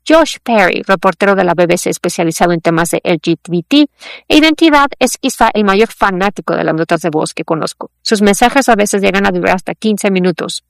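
Female news reader, mid pitch, dry close sound, measured delivery. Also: gravelly, no echo. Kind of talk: read-aloud news feature.

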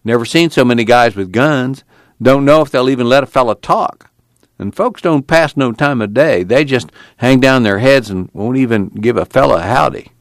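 A man's voice: mid-range voice, moderate pace, no echo, clean studio sound, pitched above the performer's own voice, lightly acted. A man voicing a weary, plainspoken young farm worker with a drawl.

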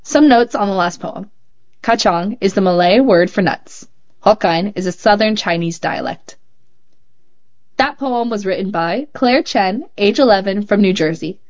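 A woman hosting a show, reading young writers' poems and essays aloud in a calm, measured way. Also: mid-range voice. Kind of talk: measured read-aloud narration. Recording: slightly muffled, dull sound.

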